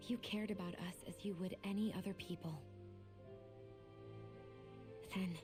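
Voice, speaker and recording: A woman's voice: mid-range voice, lightly acted. An actress playing a guarded but vulnerable young woman in a fantasy video game, speaking sincerely and confessionally. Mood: tender, moved. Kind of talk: video game English voice acting. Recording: music behind the voice. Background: game music.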